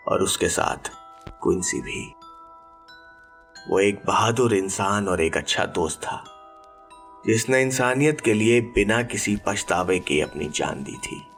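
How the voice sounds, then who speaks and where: Slow, low-voiced man narrating a horror audiobook. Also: fairly bright tone, faint music behind.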